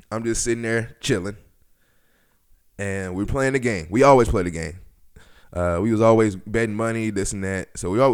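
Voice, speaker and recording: Young guy podcast host, smooth clear voice, loose slangy storytelling, swears, quotes people as he goes. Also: fairly low pitch. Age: 20s. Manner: slangy, profane, animated retelling with quoted dialogue. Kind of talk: casual storytelling podcast talk.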